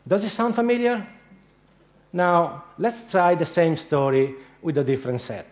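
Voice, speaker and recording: A man's voice: a little high, unhurried. A middle-aged man with an Italian accent giving a humorous conference talk, telling anecdotes with frequent hesitations. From Italy. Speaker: conference speaker. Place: large dark lecture hall.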